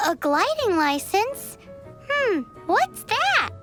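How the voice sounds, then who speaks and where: A woman voicing a tiny, childlike female fairy companion in a video game, squeaky, cutesy, curious and cheeky. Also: very animated, slow, a bit bright, soft background music, exaggerated voice. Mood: puzzled. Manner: cutesy, refers to herself in third person. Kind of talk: English video game voice acting.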